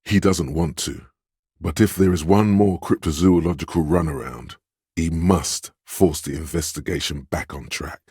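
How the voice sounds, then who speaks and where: A commanding inner voice of authority for a video game, extremely deep in pitch and very smooth. The delivery is haughty, pompous and measured, like a stern narrator who expects to be obeyed. The recording is close and dry. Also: male voice, darkish tone, dry recording, full character voice.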